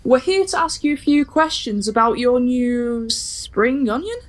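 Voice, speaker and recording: A pushy, perky female reporter with a high, lively voice. She is brisk and inquisitive in comic pantomime style. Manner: perky, rapid questioning.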